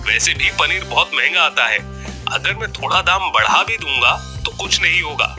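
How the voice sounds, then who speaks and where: A greedy village street-food seller for a cartoon tale. The voice is very bright and forceful, a little high, with lively swings in pitch. He talks smoothly and emphatically, with music playing behind. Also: moderate pace. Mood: scornful, argumentative. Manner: animated, emphatic, expressive delivery. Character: greedy, smooth-talking village biryani seller, married man. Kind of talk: Hindi cartoon story voice acting.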